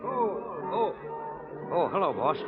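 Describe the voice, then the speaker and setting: An excitable American cowhand with a very high, swooping voice. It sounds like an old radio western, folksy and eager. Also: male voice, slow pace, exaggerated voice. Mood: excited. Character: excitable, folksy cowhand reporting to his boss. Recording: dull vintage radio broadcast with music.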